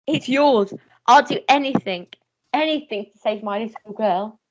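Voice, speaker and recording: A woman's voice: fairly high pitch, moderate pace, highs cut off, noisy background, close to an everyday voice. A woman playing a decrepit old major and devoted father, earnest and declamatory in a radio melodrama. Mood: outraged, pleading.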